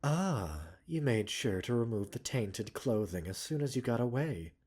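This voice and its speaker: A man playing a gentle, composed pharmacist doctor in an ASMR roleplay, speaking softly and soothingly in polite, formal phrases with mild chiding and teasing. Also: mid-range voice, very animated, measured pace, breathy edge. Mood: soothing, attentive. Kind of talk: ASMR roleplay audio drama.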